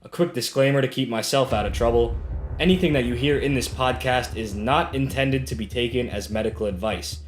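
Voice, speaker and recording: A man speaks as a podcast host, presenting and putting questions to a guest in conversational style. His pitch is medium, and the room around him rings with noticeable echo. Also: a little fast, slight background noise. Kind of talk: podcast hosting, introductions and questions. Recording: roomy, echoing sound.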